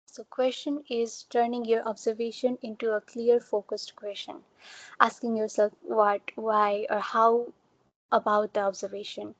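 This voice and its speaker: Young Nepali woman presenting online, flat delivery. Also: fairly high pitch, measured pace, no echo, highs cut off. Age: young adult. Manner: explains step by step with examples and fillers. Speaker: student researcher giving a talk. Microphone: computer mic on a video call.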